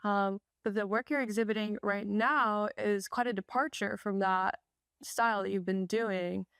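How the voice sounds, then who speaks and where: A woman's voice: mid-range voice, steady tone, moderate pace, fairly bright tone, clear, resonant tone, no echo, clean studio sound. A young woman interviewing an artist in a gallery, in a friendly, upbeat conversational manner. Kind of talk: casual interview. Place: art gallery.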